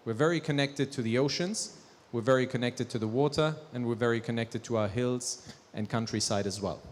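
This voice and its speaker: A man's voice: medium pitch, a little fast, slight background noise. A man in his thirties giving a conference presentation on stage with a handheld mic, talking conversationally with fillers and restarts. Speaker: company presenter. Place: large conference hall on stage. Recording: long hall reverb.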